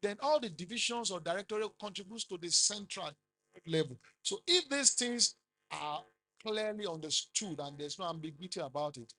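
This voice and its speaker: A middle-aged man with a Nigerian accent giving a business seminar talk, rising loudly for emphasis and quizzing the audience. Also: high voice, lively intonation, moderate pace. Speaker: conference speaker.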